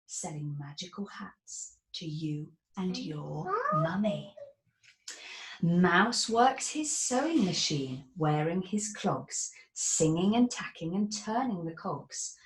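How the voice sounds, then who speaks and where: Author reading her picture book aloud, slow and dramatic, whispers and gasps, roomy echo. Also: fairly low pitch. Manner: expressive, sing-song, with dramatic whispers and gasps.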